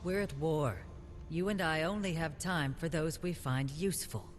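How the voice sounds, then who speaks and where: A woman's voice: low-pitched, moderate pace, music in the background, lightly acted. A woman playing a stern, driven warrior in a video game, terse, blunt and resolute.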